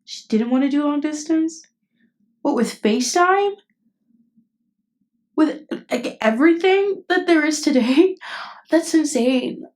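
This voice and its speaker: A woman's voice: high-pitched, lively intonation, slow pace, long pauses, a little mellow. A woman voice acting a caring, indignant best friend in an intimate ASMR roleplay, speaking casually and bluntly. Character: protective, indignant female best friend comforting someone. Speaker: voice actress.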